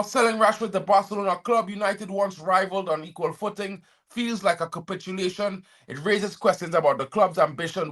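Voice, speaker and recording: A man from Trinidad and Tobago running his own football fan channel, moving between reading news copy and blunt, chatty opinions aimed straight at his viewers. His voice sits high and sounds bright and forward. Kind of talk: reading and reacting to transfer news.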